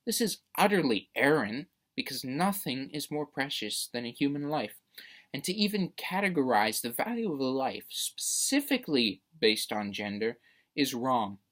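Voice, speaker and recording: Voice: mid-range voice, very animated, normal speed, fairly bright tone. A debate speaker delivering a prepared persuasive speech in a formal, emphatic, rhetorical manner.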